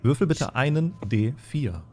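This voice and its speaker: A man's voice: medium pitch, expressive, measured pace, darkish tone, slight background noise. A man acting as game master, patiently explaining tabletop game rules step by step and narrating.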